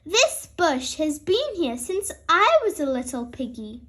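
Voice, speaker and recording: A woman's voice: sits high, sing-song, a little slow, fairly bright tone, exaggerated voice. A woman voicing a cheerful, confident mother pig from a storybook, reassuring and upbeat.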